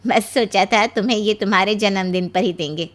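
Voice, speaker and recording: A woman voicing an affectionate middle-aged mother-in-law in an animated story, caring and coaxing in a motherly, playful way. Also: medium pitch, brisk, pitched below the performer's own voice, close to an everyday voice. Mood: tender. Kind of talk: animated Hindi moral story voice acting.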